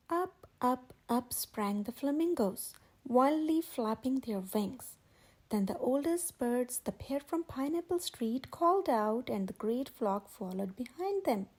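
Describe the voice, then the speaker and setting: A woman reading a children's picture book aloud in a measured, expressive storytelling manner. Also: high voice, slightly rough. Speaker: children's story channel host and reader. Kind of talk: scripted read-aloud narration of a picture book.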